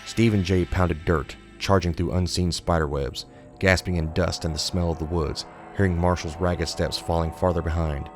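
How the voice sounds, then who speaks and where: Male horror narrator, very deep voice with a narrow, controlled range, over a music bed. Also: fairly even intonation, normal speed. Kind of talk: scripted narration, suspense fiction.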